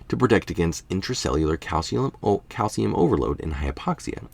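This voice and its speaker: A man's voice: low voice, creaky, a little mellow, no echo. A man reading a science article aloud, informally and musingly, with casual asides and the odd stumble over technical words. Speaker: article reader and commentator.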